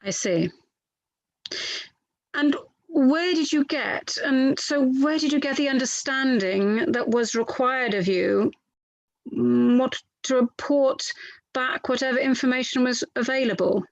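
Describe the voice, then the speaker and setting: Woman reading as polite inquiry lawyer, higher-pitched, dry sound. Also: gravelly, no echo, highs cut off. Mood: polite, methodical. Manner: courteous, methodical, slightly halting reading. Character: formal, polite inquiry lawyer questioning a witness. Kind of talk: verbatim transcript reading.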